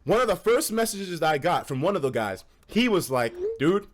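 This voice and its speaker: Animated high-pitched young American man storytelling. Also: normal speed, slight background noise. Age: young adult. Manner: animated, slangy and profane, acts out quoted dialogue. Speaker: streamer. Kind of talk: casual storytelling to a live chat.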